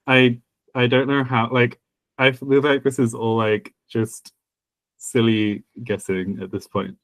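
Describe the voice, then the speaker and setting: A man in casual podcast talk, drawling slowly and circling back over his own words. He restarts sentences often and breaks off with small laughs. The recording is dry and close, with no room sound. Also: medium pitch, little pitch movement, a bit bright, dry recording. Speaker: podcast co-host. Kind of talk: casual chat about language.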